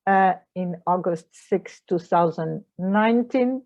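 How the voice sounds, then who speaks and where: A middle-aged woman with a Portuguese accent speaks in a low, gravelly, mellow voice. She lectures in careful but imperfect English, with frequent hesitations. The sound is dull and lo-fi, like an online call. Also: takes it slowly. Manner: frequent hesitations, non-native phrasing. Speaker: mediator and trainer giving a lecture.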